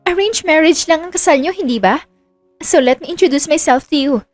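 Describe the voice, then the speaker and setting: A woman's voice: high-pitched, a little fast, no echo, faint music behind, pitched above the performer's own voice, close to an everyday voice. A woman playing a haughty, flirtatious former fiancée with a pointed, falsely sweet manner in an audio romance. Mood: catty, smug.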